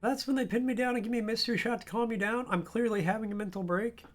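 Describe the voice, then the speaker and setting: A hoarse man streaming a video game, joking and rambling casually. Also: sits high, fairly even intonation, fast. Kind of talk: casual gaming stream chat.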